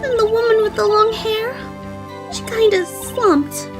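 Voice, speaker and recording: A woman's voice: very high voice, fairly even intonation, a little slow. A woman playing a giggly, flirty ditzy witness. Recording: with background music.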